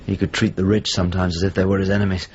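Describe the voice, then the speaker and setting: A male actor playing a middle-aged painter in a radio drama, measured, inquiring and a little hesitant, with a husky voice. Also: low voice, level tone, highs cut off, slight background noise, lightly acted. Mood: probing, concerned. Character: Italian painter, probing visitor seeking an old friend. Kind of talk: naturalistic radio drama acting.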